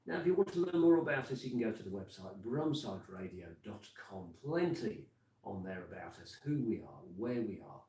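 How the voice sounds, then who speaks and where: A man's voice: lowish voice, sing-song, a little fast, mellow. Brummie man on echoey studio mic. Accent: Birmingham (Brummie). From Birmingham, England. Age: 50s. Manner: chatty, rambling, with many fillers and restarts. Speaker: radio presenter. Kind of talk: casual live radio chat with song links. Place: community radio studio. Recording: echoey room, mic at a distance. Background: music playing.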